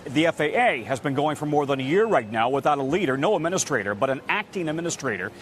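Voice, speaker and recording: High-pitched American man reporting news. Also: sing-song, fast talker, fairly bright tone, a little hiss or hum. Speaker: TV news correspondent.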